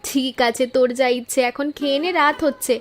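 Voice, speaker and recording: A woman plays the elder of two flower-selling sisters in a cartoon fable. Her voice is high and she talks quickly, in a nurturing, practical, reassuring way. Music runs softly behind her. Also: level tone, close to an everyday voice. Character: patient, caring elder sister, poor flower seller. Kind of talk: Bengali cartoon moral-story dialogue.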